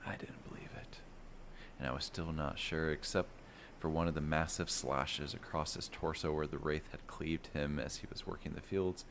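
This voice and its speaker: A man with an extremely low voice reads a fantasy bedtime tale. His delivery is measured and dramatic, like a storyteller setting a grim scene. There is some background noise under the voice. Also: steady tone, a bit bright. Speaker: storyteller, narrator. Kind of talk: first-person bedtime fantasy story reading.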